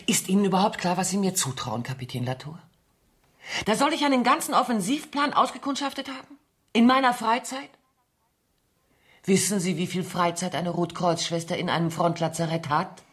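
Proud accused female spy, lowish husky voice, sardonic, theatrical, radio drama. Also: expressive, normal speed, fairly bright tone. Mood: defiant. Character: accused woman spy, ex-dancer and nurse, proud, mocking. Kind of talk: German radio play acting.

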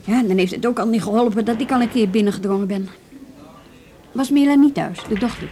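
A woman's voice: a little high, a little fast, warm tone, close to an everyday voice. An actress playing a shrewd, inquisitive woman in a naturalistic radio drama, probing in a dry, conversational way. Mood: casual, curious.

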